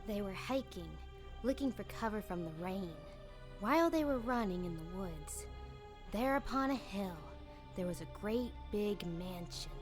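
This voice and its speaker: A mid-pitched female voice acted by a woman for a scornful, bossy camper in an audio drama. She is dry, dismissive and theatrical, with faint music underneath. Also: lively intonation, measured pace, natural voice. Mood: ominous storytelling. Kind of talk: light-hearted audio drama acting.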